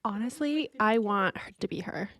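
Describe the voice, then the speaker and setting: A woman's voice: medium pitch, animated, normal speed, a bit bright, no room sound. A woman co-hosting a podcast in casual comedic chat, playful and blunt, reacting with exclamations. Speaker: podcast co-host.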